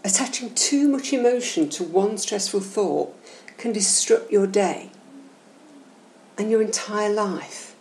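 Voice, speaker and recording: A woman guiding a meditation session in a soothing, gentle, measured and instructional way. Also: mid-range voice, lively intonation. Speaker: guided meditation host. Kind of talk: slow, soothing guided meditation narration. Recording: roomy echo, faint background noise.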